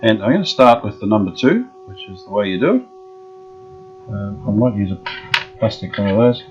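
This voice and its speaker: A man restoring an old radio, explaining each step in an unhurried way with many pauses and fillers. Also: lowish voice, lively intonation, a little mellow, dull audio, faint music behind. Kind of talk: casual workshop demonstration.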